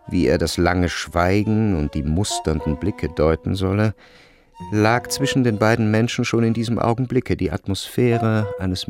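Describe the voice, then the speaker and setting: A man reading scripted literary narration for a radio play in a measured, precise way. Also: lowish voice, vocal fry, a little mellow.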